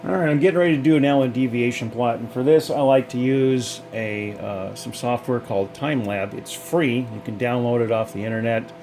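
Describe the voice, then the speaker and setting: A man's voice: mid-range voice, a little fast, few pauses, a little mellow, faint music behind. A man giving a casual, off-the-cuff technical demonstration in a folksy, hesitant way, thinking aloud as he goes. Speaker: hobbyist demonstrator.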